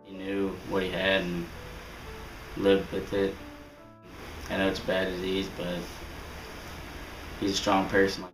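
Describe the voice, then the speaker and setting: A man in his twenties, recalling his family plainly and haltingly in a documentary interview. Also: low-pitched, completely flat delivery. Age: 20s. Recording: roomy sound with music.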